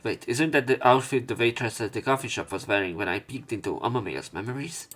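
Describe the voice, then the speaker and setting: A man narrating a visual novel let's play, reading the text aloud in a measured way with some stumbles. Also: medium pitch, a bit bright, soft background music. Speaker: let's player.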